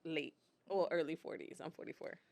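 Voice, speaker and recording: A woman's voice: deep voice, fairly even intonation, fairly quick, creaky, a little mellow, dry recording. A woman in her forties, chatting casually on a podcast and laughing often. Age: 40s. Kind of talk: casual chat.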